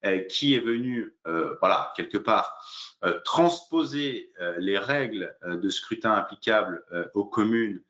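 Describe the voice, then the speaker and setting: Un homme qui fait une présentation juridique explicative, semi-improvisée, de façon didactique, pas à pas, avec beaucoup d'hésitations. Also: male voice, on the low side, a bit bright, lo-fi sound. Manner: hesitant, didactic, step-by-step with frequent fillers. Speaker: lawyer presenting a legal webinar. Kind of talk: explanatory legal presentation, semi-improvised.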